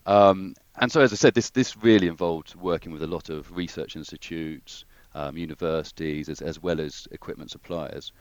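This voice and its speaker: A man's voice: sits low, moderate pace, few pauses, no room sound, muffled recording. A man, a guest engineer, talking through his career in a hesitant, thoughtful way with many restarts. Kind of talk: casual interview conversation.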